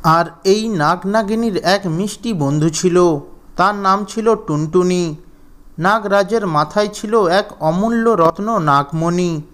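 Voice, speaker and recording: A man's voice: sits high, a bit bright. A man narrating a scripted children's fairy tale as storyteller.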